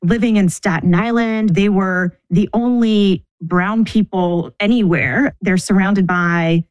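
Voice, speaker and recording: A middle-aged woman from the United States, talking thoughtfully about her upbringing as an interview guest, pausing and restarting as she thinks. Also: medium pitch, fairly even intonation, darkish tone, clear, resonant tone, dry recording, low-quality recording. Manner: thoughtful, measured, restarts mid-sentence. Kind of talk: reflective personal interview.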